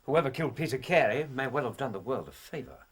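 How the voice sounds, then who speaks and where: A laconic male companion, husky low voice, lively pitch. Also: quick pace. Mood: dry. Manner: dry, laconic asides. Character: dry, loyal male doctor companion. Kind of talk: radio drama acting.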